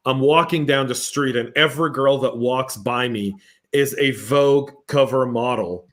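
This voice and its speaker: A man hosting a podcast panel, telling lively stories and reading out viewer comments in a casual, chatty way. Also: medium pitch, moderate pace. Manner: animated storyteller, acts out exchanges. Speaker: podcast host.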